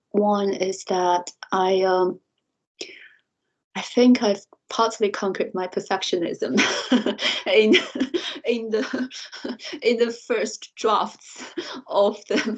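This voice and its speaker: A woman's voice: mid-range voice, a little mellow, muffled recording. A woman whose first language is Mandarin, a lecturer answering interview questions thoughtfully, with frequent light laughter and self-corrections. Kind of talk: reflective podcast interview answer.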